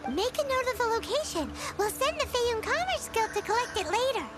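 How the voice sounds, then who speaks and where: A woman voicing a tiny, excitable floating fairy companion in a video game, with a squeaky childlike voice. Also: brisk. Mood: excited. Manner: speaks of self in third person. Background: game music.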